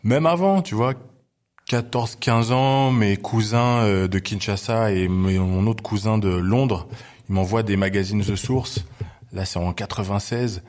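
A man in his forties, raised in Belgium, talking as a guest in a relaxed interview, often pausing with fillers as he recalls the past. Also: on the low side. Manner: relaxed, lots of hesitations. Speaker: guest, hip-hop journalist. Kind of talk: casual interview chat.

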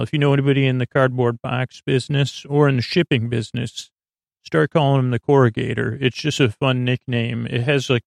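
Gravelly, meandering bedtime podcast man. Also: medium pitch, no room sound, studio quality. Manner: halting, meandering, often loses his thread; silly, whimsical, reassuring. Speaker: podcast host. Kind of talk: rambling bedtime podcast monologue.

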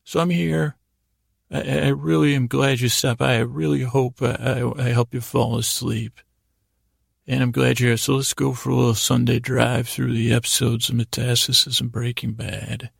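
A man hosting a bedtime podcast in a creaky, gravelly voice, rambling haltingly through whimsical tangents to lull listeners to sleep. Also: mid-range voice, level tone, dry recording, clean studio sound. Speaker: podcast host.

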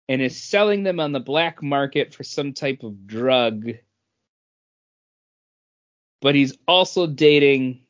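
A man co-hosting a podcast, casually discussing comic books. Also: medium pitch. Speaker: podcast co-host. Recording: dull, lo-fi podcast sound.

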